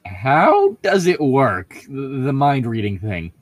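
A man voicing a curious, shy teenage girl in an expressive storybook read-aloud. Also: medium pitch, takes it slowly, dry recording, highs cut off, voice pushed up. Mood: curious, hesitant. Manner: questioning, expressive.

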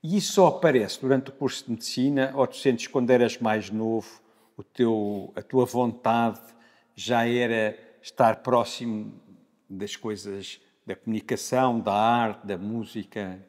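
A man's voice: medium pitch, steady tone, unhurried, darkish tone. A man from Lisbon interviewing a guest, asking short, familiar questions in an easy, informal way. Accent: Lisbon Portuguese. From Portugal. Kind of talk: conversational interview. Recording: long hall reverb.

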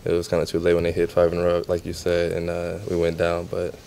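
A young man talks after a basketball game in a locker room, his voice low and dark with a gravelly edge. He answers in a flat, halting way, full of fillers. A faint hum sits behind him. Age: young adult. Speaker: basketball player.

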